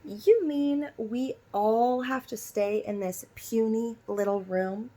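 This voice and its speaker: Lady doing little storybook sister, whiny n bossy, loud drawn out complaining. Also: fairly high pitch, slow pace.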